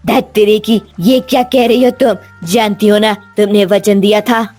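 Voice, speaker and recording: A woman's voice: mid-range voice, fairly even intonation, moderate pace, a little mellow, faint music behind, close to an everyday voice. A woman voicing a stern, scolding female ghost who drives hard bargains in a cartoon story. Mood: exasperated. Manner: scolding, demanding.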